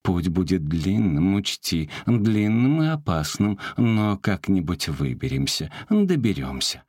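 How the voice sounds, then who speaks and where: A man voicing a kind female snail who talks in a drawn-out, reassuring way. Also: low-pitched, very animated, darkish tone, slightly rough, no echo, clean studio sound, heavily acted. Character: kind helpful female snail, slow and earnest.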